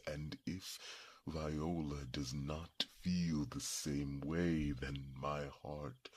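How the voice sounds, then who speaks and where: A man playing an ancient, grieving male dragon in an ASMR fantasy roleplay, speaking in a very deep, gravelly, breathy whisper with halting, deliberate phrases. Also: pushed and bright, full character voice. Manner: slow, deliberate, halting phrases with long pauses.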